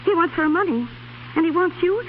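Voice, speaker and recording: A high, spirited voice of a young Irish woman, blunt and loyal. She speaks in 1930s radio drama style, on a muffled recording with clear noise. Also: lively intonation, fairly quick. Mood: alarmed, insistent. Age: young adult.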